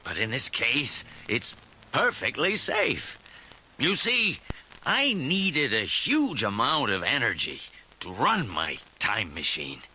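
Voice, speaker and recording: An actor playing an excitable, eccentric old male scientist with a dramatic, emphatic delivery in a children's read-along story. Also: medium pitch, takes it slowly, slight background noise, full character voice. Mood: proud, excited.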